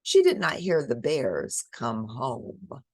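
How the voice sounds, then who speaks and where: A woman's voice: rumbling low, huge pitch swings, slow, darkish tone, husky, no room sound. A woman reading a picture book aloud to children, expressively, with dramatic emphasis and chatty asides. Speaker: children's storybook reader.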